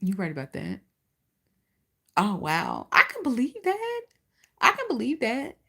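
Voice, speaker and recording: A woman's voice: a little high, unhurried, creaky, breathy edge. A woman with a Chicago accent and a lisp, chatting bluntly and profanely on a livestream as its host. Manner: blunt, profane and animated, answering chat. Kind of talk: casual livestream chat.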